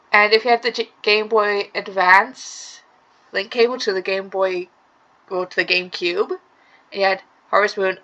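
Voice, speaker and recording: A woman with a hoarse voice from a sore throat, casually commenting on a game she is playing and explaining its details step by step. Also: mid-range voice, sharp tone, muffled recording. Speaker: let's play gamer. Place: room at home.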